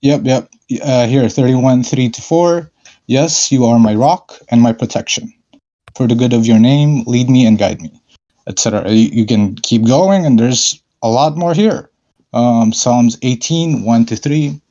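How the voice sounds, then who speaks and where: A man's voice: medium pitch, unhurried, mellow. Hesitant man caller on muffled audio. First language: not Arabic. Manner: hesitant, many fillers and throat clearing. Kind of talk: casual debate call-in.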